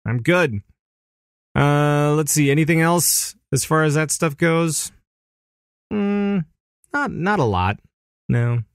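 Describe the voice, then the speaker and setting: A man's voice: a little high, expressive, takes it slowly, pauses often, clean tone, dry recording, clean studio sound. A man hosting a podcast, chatting casually in a rambling, joking way. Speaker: podcast host.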